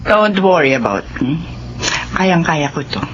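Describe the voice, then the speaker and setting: A woman's voice: deep voice, measured pace. An actress playing a devoted, struggling middle-aged mother in a Filipino radio drama, speaking tenderly. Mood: proud, reassuring. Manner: tender, expressive. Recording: muffled, noisy radio drama broadcast.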